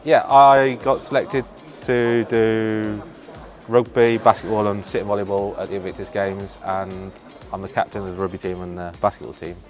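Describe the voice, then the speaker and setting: Lowish-voiced man. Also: level tone, normal speed, faint music behind. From Horncastle, Lincolnshire, England. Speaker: athlete, wheelchair rugby player. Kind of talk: casual interview talk.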